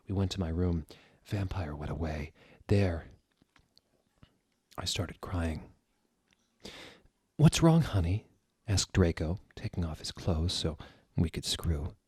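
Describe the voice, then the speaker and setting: A man's voice: deep voice, a little mellow, breathy edge, no room sound, clean studio sound. A man giving a dramatic, comedic reading of fan fiction as its narrator. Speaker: reader.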